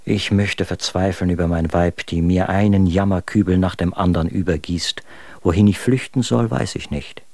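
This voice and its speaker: A world-weary, bitter husband in a radio play, with a low voice. He reads his letters in measured, literary phrases, and the sound is dry and close. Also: steady tone, darkish tone, no echo. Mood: despairing, disgusted. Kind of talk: radio drama reading of letters.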